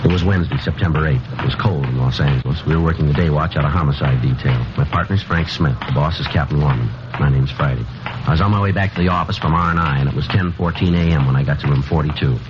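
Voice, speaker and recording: An actor playing a clipped, matter-of-fact police detective sergeant who also narrates in a 1950s radio drama. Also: male voice, rumbling low, quick pace, highs cut off, over music. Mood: deadpan. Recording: hissy old radio broadcast recording.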